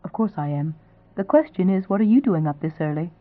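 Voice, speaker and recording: A woman's voice: lowish voice, expressive, brisk, no room sound, lightly acted. A woman voicing a proud young woman who answers curtly and defensively. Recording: tinny, phone-like sound.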